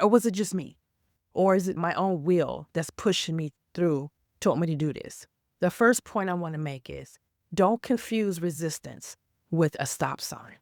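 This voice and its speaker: A woman with a raspy, hoarse voice from a cold, talking casually about faith and pushing through hard times. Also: on the low side, a little mellow, no echo, studio quality. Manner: rambling, stops and restarts, then earnest exhortation. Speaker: faith vlogger. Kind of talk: casual faith talk to camera.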